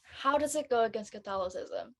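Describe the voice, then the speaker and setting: A woman playing a chatty, curious high school girl in a casual script reading. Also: expressive, brisk, fairly bright tone.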